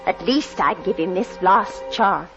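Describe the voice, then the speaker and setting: A woman's voice: mid-range voice, a little slow, lightly acted. A woman playing a fearful middle-aged landlady and mother, narrating breathlessly and melodramatically in 1940s radio style. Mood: tense confiding. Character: anxious, suspicious landlady, wife and mother. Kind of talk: 1940s radio acting, dramatic first-person narration. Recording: dull 1940s radio recording. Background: dramatic music.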